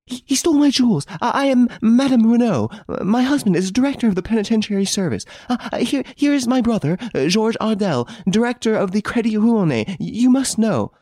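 A shrill, panicked lady who gasps and stammers over her words, voiced by a man reading an audiobook. Her voice leaps high and swings widely, with a slight gravelly edge and a dry, close sound. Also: normal speed, dry recording, exaggerated voice. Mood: frantic, breathless. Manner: stammering, panting, high and excited. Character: frantic robbed lady, shrill and breathless. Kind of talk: audiobook character voice.

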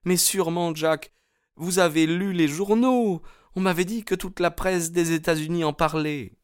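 A man's voice: fairly high pitch, normal speed, clean tone, dry recording, clean studio sound, voice pushed up, natural voice. A man voicing an anxious young woman in love, speaking in an earnest, pleading way in an audiobook. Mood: fearful, warning. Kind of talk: audiobook character dialogue.

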